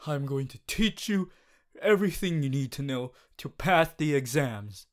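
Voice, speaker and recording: A man's voice: mid-range voice, animated, measured pace, lightly acted. A man voicing a strict, earnest teenage class president, formal and preachy, in an audio roleplay. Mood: earnest pleading. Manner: formal, preachy, exasperated, stiff phrasing. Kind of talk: ASMR character audio roleplay monologue.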